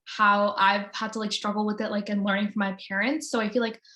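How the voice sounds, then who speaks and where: A woman's voice: medium pitch, level tone, brisk, pushed and bright. A young woman from the American South giving an earnest personal reflection as a student panelist, informally, with frequent "like" and "sort of". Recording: echoey room sound.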